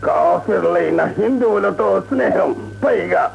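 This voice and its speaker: Gravelly, proud old Persian emperor. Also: male voice, high voice, expressive, lo-fi sound, heavily acted. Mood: stern, reproachful. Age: older. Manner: declamatory, indignant, with deliberate pauses. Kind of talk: radio play acting. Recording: radio drama with music.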